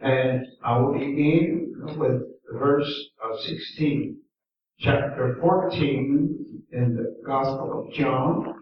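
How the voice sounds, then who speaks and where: Slow-speaking man preaching in an echoey hall. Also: mid-range voice. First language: Mandarin Chinese. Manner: unhurried, with long pauses and gentle emphasis. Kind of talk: slow, deliberate sermon. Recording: echoey hall, distant mic, dull lo-fi sound.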